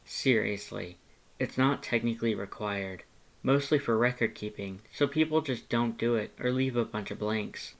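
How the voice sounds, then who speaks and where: Narrator doing a shy-ish friendly shelter worker, low-key, mid-pitched, bit hesitant, audiobook dialogue. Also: moderate pace, close to an everyday voice, poor audio. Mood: casual, amused. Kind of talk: second-person character dialogue in audiobook.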